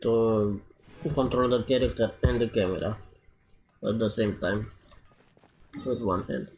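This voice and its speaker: Guy rambling over his gameplay, slow and halting, bit of background noise. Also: fairly low pitch, darkish tone. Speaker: game streamer. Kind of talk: casual gameplay commentary. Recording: faint room noise and clicking.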